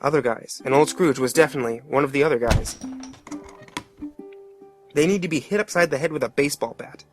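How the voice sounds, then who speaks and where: A man's voice: on the high side, brisk, long pauses, over music, natural voice. A man playing a plain-spoken working-class father who narrates a dramatic tale in an earnest, colloquial way. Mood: wry.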